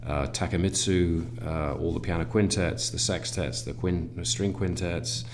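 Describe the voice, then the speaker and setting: Deep-voiced man, thoughtful and halting. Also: a little hiss or hum. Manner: thoughtful, halting, with many fillers and restarts. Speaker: cellist in a string quartet, interview guest. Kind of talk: reflective conversational interview.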